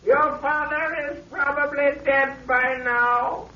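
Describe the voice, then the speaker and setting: An actor playing a gloating mad villain in a 1930s radio drama, mocking, theatrical and menacing. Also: male voice, very high-pitched, level tone, slow pace, bright, forceful tone, heavily acted. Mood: gloating, cruel. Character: gloating mad villain, male inventor and explosives genius. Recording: muffled, noisy 1930s radio recording.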